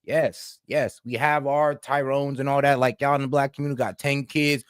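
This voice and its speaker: Blunt animated Caribbean man co-host. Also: medium pitch, normal speed, clean tone, no room sound, studio quality. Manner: blunt, animated, mocking quoted voices. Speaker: podcast co-host. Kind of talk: casual group discussion.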